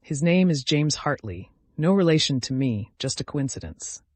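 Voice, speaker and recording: A man voicing a vengeful, calculating woman in an audiobook, deliberate and accusatory, with bitterly controlled grief. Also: fairly high pitch, sing-song, dry recording. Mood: cold menace. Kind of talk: dramatic audiobook character reading.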